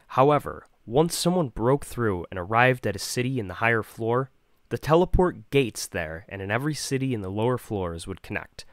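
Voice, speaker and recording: A man's voice: medium pitch, no echo, clean studio sound. A man doing scripted narration and reading aloud as an amateur voice actor, with a self-deprecating manner. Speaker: amateur voice actor presenting a demo reel.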